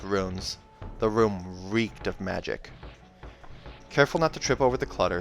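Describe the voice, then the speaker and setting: A man with a fairly low voice narrates a fantasy story. He moves between storybook reading and informal, self-correcting commentary. Faint music plays behind him. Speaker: narrator reading a novel aloud and reacting. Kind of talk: audiobook narration with casual asides.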